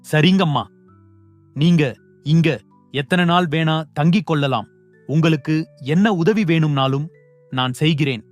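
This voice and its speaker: A helpful cartoon innkeeper, voiced by a man, sits a little high for a man and speaks respectfully to a guest. His manner is warm and reassuring, and he is eager to help. Soft music runs underneath. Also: measured pace, pauses often, lightly acted. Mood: polite, helpful. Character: kindly adult male innkeeper, helpful. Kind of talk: cartoon voice acting.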